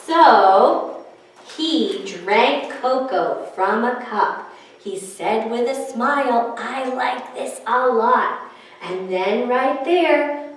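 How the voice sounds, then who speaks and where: A woman leading a children's library story time, reading aloud in a sing-song way and speaking directly to young listeners. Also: on the high side. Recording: very echoey room, distant mic.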